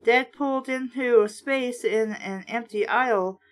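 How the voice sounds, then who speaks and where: A woman with a fairly high voice hosts a read-aloud of a children's book. Her narration is casual and conversational, with a sardonic, blunt edge to her commentary. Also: unhurried. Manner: sardonic, blunt, swearing asides. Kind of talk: storybook narration with casual asides.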